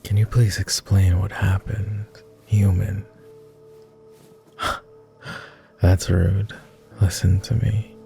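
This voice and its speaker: Man playing a deep, gravelly, slow-spoken vampire lord. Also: level tone, mellow, soft background music, heavily acted. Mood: puzzled. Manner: formal, hesitant, breathy murmuring with many pauses. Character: weary, courtly vampire lord who loathes blood. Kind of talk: ASMR roleplay acting.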